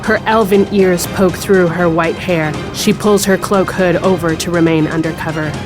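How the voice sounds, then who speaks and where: A woman's voice: lowish voice, steady tone, normal speed. A woman reading scripted fantasy narration for an audio drama. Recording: prominent music bed behind narration. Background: music.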